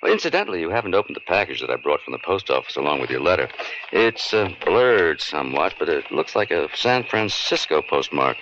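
A man's voice: sits low, big pitch swings, a little fast. An actor playing a kindly, attentive older father in a radio drama, genteel and fatherly in manner. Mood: warm, pleased. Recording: dull, noisy old radio drama recording.

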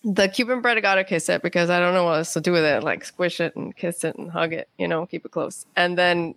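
A woman's voice: lowish voice, fast talker, fairly bright tone, no echo. Casual woman guest, dry close sound. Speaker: guest, playwright and author. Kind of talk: casual conversational interview.

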